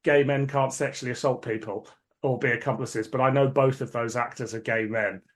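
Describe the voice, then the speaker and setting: A man hosts a casual podcast. His voice sits at an ordinary male pitch, and he talks things through in a measured, conversational manner. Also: steady tone. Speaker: podcast host.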